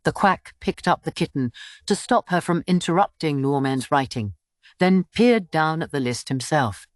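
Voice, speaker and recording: A woman's voice: sits low, animated, moderate pace, no room sound, clean studio sound. A woman reading scripted story narration for an audiobook.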